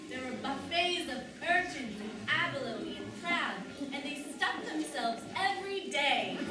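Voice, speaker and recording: A theatrical otter elder-storyteller telling a tale to pups, acted by a woman. The delivery is expressive and high, heard from far off through heavy echo and loud noise. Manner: expressive, teacherly. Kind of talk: dramatic children's storytelling. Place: large room at open house puppet show. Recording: very echoey hall, far mic, loud noise.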